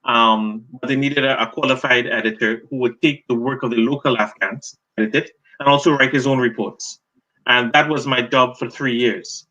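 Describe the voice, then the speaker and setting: A middle-aged Trinidadian man, a journalist and author, answering interview questions as a lively storyteller. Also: mid-range voice, normal speed, muffled recording. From Trinidad and Tobago.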